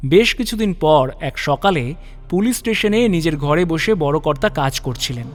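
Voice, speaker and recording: Male narrator for a crime audio story, slightly high voice, dramatic scripted delivery, light music bed. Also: animated, normal speed.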